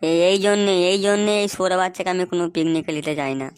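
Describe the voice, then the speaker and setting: A woman's voice: fairly low pitch, very fast, a little hiss or hum, lightly acted. A woman voicing a bossy, grumbling young man who swears and scolds his friends in a cartoon. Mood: irritated. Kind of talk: Bengali cartoon dubbing.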